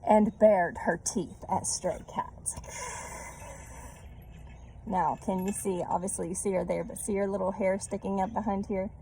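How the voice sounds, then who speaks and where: A woman's voice: mid-range voice, steady tone, measured pace, creaky, mellow, slight background noise, rough recording. A woman reading a children's story aloud, adding chatty asides and little questions to her listeners. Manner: checks in with listeners using tag questions.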